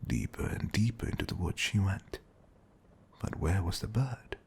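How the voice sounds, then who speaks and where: A man playing a butler who reads a storybook fairy tale aloud in a soothing, breathy, creaky whisper. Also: low-pitched, animated, normal speed, a little mellow, a little hiss or hum.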